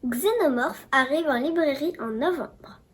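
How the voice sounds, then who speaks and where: Quebec lady presenting books, high voice, jokey asides. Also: a little slow, slight background noise. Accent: Quebec French. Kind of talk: casual presentation.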